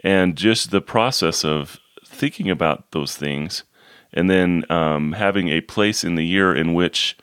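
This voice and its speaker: A hoarse man with a very low voice co-hosts a casual podcast chat. He talks haltingly, restarting his words and leaning on fillers. The recording is dry and close. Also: fairly bright tone, dry recording. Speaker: podcast co-host.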